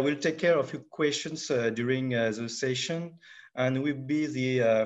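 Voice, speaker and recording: A man giving a webinar presentation on market statistics. He speaks haltingly and fills nearly every pause with "uh". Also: mid-range voice. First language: French. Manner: halting, with constant filler pauses. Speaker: market analysis director presenting.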